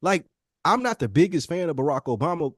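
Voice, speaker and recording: A man's voice: fairly high pitch, big pitch swings, a little fast, husky, no room sound, clean studio sound. A man hosting a podcast with casual commentary, blunt and slangy, repeating phrases for emphasis. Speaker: podcast host.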